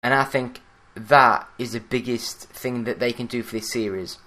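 Podcast host from Yorkshire, English male, average pitch, plain monotone read. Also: moderate pace. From Yorkshire, England. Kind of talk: solo gaming news podcast.

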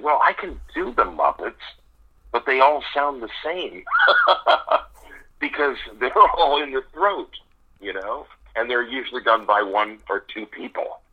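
Laughing, animated man on a phone line. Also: mid-range voice, breathy edge. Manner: animated storyteller, laughs often mid-sentence. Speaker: impressionist guest. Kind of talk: casual radio interview.

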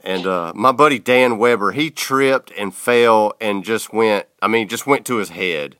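A man's voice: on the low side, a little slow, smooth, no room sound, clean studio sound. A man hosting a podcast, chatting casually with lots of fillers. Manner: relaxed, filler-heavy Southern talk. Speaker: podcast host. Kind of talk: casual interview chat.

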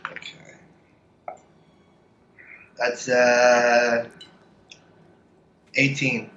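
A man's voice: mid-range voice, completely flat delivery, very slow, fairly bright tone, a little hiss or hum. A man chatting casually as a player in a tabletop game, recorded in an echoey room on a dull mic.

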